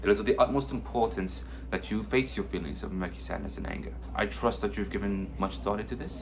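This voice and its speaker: Male actor as earnest Elizabethan-style therapist, lowish voice, formal and beseeching, slightly noisy recording. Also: quick pace, creaky. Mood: conciliatory. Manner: formal, beseeching, archaic diction. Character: concerned, pleading therapist in a Shakespearean world. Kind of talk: theatrical mock-Shakespearean dialogue.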